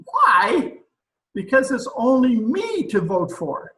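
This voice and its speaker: A man's voice: extremely high pitch, huge pitch swings, slow, pushed and bright, echoey room, a little hiss or hum, full character voice. A man playing a pompous, blustering dictator president in an old-time radio comedy recorded over a video call. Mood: indignant. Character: pompous, excitable dictator president of a banana republic.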